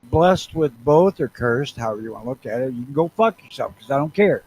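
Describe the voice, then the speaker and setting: A man's voice: mid-range voice, animated, a little fast, darkish tone, slight background noise, low-quality recording. A blunt, opinionated man co-hosting a podcast, swearing freely in casual chat. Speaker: podcast co-host.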